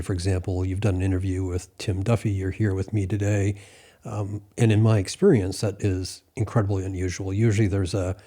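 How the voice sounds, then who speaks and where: Mellow, low-voiced male interviewer. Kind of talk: conversational interview questioning.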